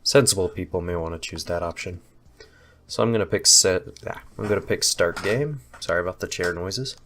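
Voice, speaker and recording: A young man with a cold, sounding nasal and a little hoarse, rambling casually through a voice-over of his own game with self-deprecating asides. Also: deep voice, a little fast, a bit bright. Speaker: indie game developer. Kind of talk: casual gameplay voice-over commentary.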